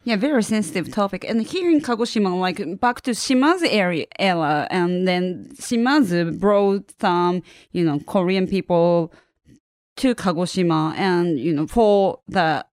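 A woman's voice: on the low side, animated, unhurried, a little mellow, dry recording. A woman with a Japanese accent, speaking English as a guest in casual conversation and carefully searching for her words. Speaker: podcast guest.

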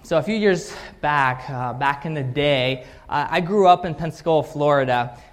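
A man's voice: fairly high pitch, normal speed, smooth. A man in his thirties, born in Russia, preaching a sermon with a personal story, full of fillers and restarts. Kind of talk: scripture reading then personal story sermon.

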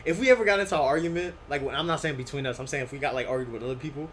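A young man with a fairly deep voice, co-hosting a podcast in a laid-back way with quick bursts of speech. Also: a bit bright, slight background noise. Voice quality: deeper voice than his co-host. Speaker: podcast co-host. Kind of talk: casual chat.

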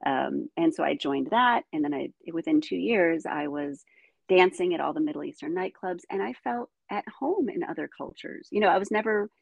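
A middle-aged woman, first raised speaking Ukrainian and from the United States, telling her life story as a guest in animated conversation. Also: fairly low pitch, normal speed, vocal fry, slightly rough, no echo, highs cut off. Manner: animated storytelling, frequent "you know". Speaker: guest, dancer.

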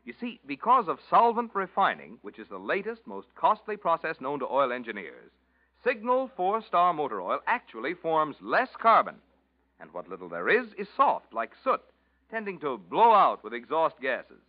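A male announcer pitches the product briskly, in an old-time hard-selling style. His voice is fairly high and swoops sharply up and down. It comes through a dull, muffled old radio recording. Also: huge pitch swings. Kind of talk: 1940s radio commercial.

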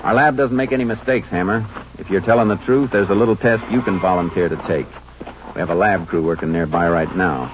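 An actor playing a steady, authoritative Texas Ranger in a terse, matter-of-fact 1950s radio crime drama style. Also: male voice, deep voice, brisk, background noise, natural voice. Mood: firm, matter-of-fact. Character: steady, authoritative male Texas Ranger investigator who also narrates. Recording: dull, lo-fi old radio recording.

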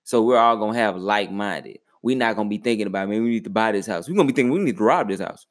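Laid-back, lower-voiced young man. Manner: laid-back, measured, often trailing off quietly. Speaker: podcast co-host. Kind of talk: casual chat.

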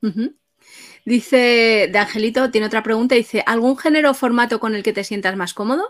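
A woman's voice: a little high, brisk. A woman interviewing guests casually and reading out audience questions.

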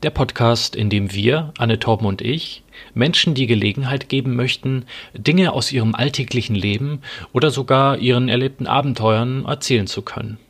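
A man's voice: mid-range voice, moderate pace, few pauses, husky. A man in his twenties hosting a casual podcast, talking with frequent hesitations and filler sounds. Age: 20s. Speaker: podcast host.

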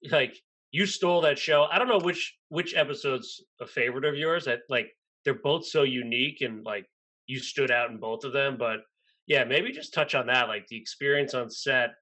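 A man's voice: mid-range voice, pushed and bright, poor audio. A man asking questions casually as a podcast co-host. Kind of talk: casual interview chat.

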